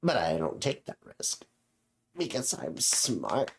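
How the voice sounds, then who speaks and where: A man's voice: sits low, wildly swooping pitch, slow pace, vocal fry, slight background noise, full character voice. A man voicing an immortal alien companion who is casual, smug and reflective, in an improvised character chat.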